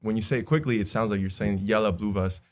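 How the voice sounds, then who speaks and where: A man's voice: on the low side, fast talker, darkish tone. A man in his twenties from Honduras, a native Spanish speaker, chatting as a podcast guest, with lots of fillers and frequent laughs. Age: 20s. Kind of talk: casual podcast chat.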